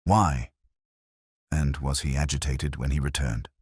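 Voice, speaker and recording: A man voicing a brisk Victorian detective in a terse, formal, probing way for a storybook. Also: rumbling low, slightly rough, no room sound, studio quality, full character voice. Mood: probing, intent.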